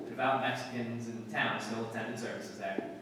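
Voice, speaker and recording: An actor playing a dry, laconic police detective sergeant in a radio drama, with clipped, deadpan delivery. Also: male voice, mid-range voice, normal speed, lightly acted. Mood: matter-of-fact narrating. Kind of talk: 1950s radio police drama acting, scripted narration. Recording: distant, echoey hall with long reverb, hiss.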